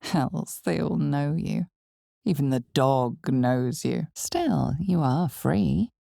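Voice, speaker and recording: A woman playing the knowing, ominous narrator of a dark fantasy video game, speaking in a measured, deliberate way with weighty pauses. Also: deep voice, animated, gravelly, dry recording, clean studio sound, exaggerated voice. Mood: grave storytelling. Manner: measured, deliberate, weighty pauses, sometimes dropping to near whisper. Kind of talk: dramatic scripted fantasy game narration in second person.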